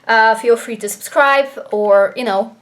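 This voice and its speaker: A woman's voice: a little high, a little slow, a bit bright. A young woman from Slovenia with a Slovenian accent, chatting to camera as a booktuber in a rambling, filler-heavy way. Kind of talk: casual chat to camera. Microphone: camera propped on desk.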